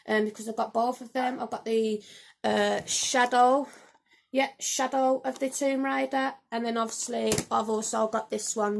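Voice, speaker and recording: Chatty woman, slightly croaky. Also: a little high, slight background noise. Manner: chatty, rambling, with frequent "um" and "so, yeah". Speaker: gaming vlogger. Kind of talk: casual game collection review. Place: home room.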